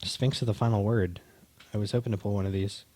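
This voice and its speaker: A man with a lowish, mellow voice talks casually while opening card packs. He speaks in a relaxed, halting way, often restarting his phrases. The sound is dry and close. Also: no echo. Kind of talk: casual chat.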